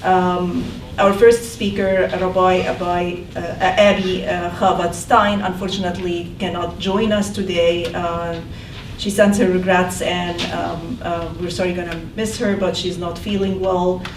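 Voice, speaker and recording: A woman's voice: fairly low pitch, flat delivery, unhurried. A woman hosting a public event and introducing speakers, hesitant with many fillers. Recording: echoey room, mic at a distance, noisy.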